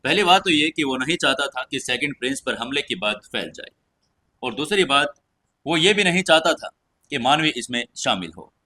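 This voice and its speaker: A man's voice: mid-range voice, big pitch swings, moderate pace, sharp tone. A man narrating a scripted audio story in a dramatic storytelling style.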